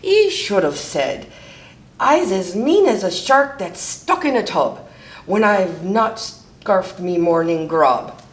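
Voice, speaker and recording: Blustery male pirate skipper, woman reader, storybook style, hollering, very animated, echoey room. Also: mid-range voice, slow pace. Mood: scolding. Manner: bellowing, hollering pirate talk. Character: blustery, demanding, short-tempered male pirate captain. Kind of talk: children's storybook character voice.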